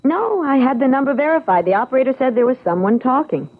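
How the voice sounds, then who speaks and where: A woman's voice: high voice, lively intonation, fast. A woman acting in an old-time radio drama as a hurt, proud, wary young fiancée who speaks hesitantly and defensively. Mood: frustrated. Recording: dull, lo-fi radio drama recording.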